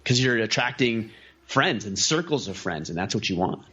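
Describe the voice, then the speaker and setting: A man with a fairly low voice speaks earnestly in a relaxed interview, stacking example after example as he explains himself. The audio sounds dull and lo-fi, with the top end muffled. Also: moderate pace, breathy edge. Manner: earnest, piles up lists of examples. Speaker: podcast guest, community founder. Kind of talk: casual interview talk.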